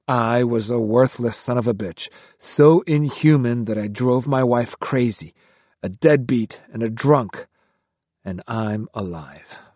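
A man's voice: medium pitch, slightly rough, no room sound, full character voice. A man voicing a restless male monk and former drunk, bitter and argumentative.

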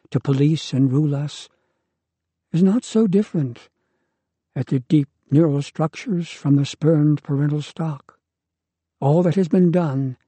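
A man voicing a devoted young woman, reading her lines in an earnest, measured way. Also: fairly high pitch, mellow, breathy edge, no room sound.

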